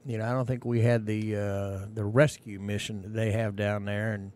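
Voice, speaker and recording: A man's voice: fairly low pitch, level tone, normal speed, a little mellow. Rambling homespun radio co-host, man. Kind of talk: casual morning radio chat.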